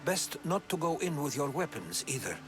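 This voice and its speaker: An actor playing a wry, quietly protective male companion in a video game cutscene, giving low-key, dry advice. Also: big pitch swings, normal speed, music in the background. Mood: wry concern. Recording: clearly noisy game ambience.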